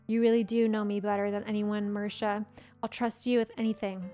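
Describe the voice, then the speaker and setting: A hoarse woman reading aloud as a sweet, quietly heartbroken teenage girl in a game, in a plain read-aloud way. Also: medium pitch, level tone, a little fast, soft background music, lightly acted. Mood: pleading.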